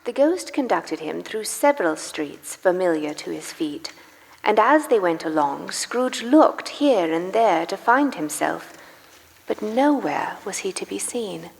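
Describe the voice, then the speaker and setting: A woman narrating a dramatic story live in a reverberant church, with grave, deliberate phrasing. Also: mid-range voice, very animated, creaky. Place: live performance in a large church. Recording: reverberant church hall, music behind. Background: music.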